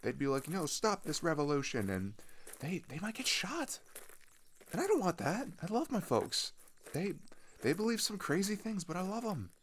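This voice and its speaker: A man's voice: high-pitched, sing-song, moderate pace, slight background noise. A young man playing an earnest, frustrated teenage boy who rambles with hesitant restarts in a casual audio roleplay. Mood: worried, earnest, then fond, anxious. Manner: rambling, exasperated, hesitant restarts and filler words. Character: earnest, frustrated teenage male migrant venting about politics.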